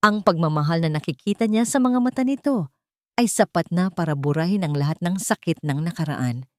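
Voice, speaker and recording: A woman's voice: on the low side, fairly quick, no room sound, studio quality. A woman narrating a scripted romantic story in a dramatic, sentimental way.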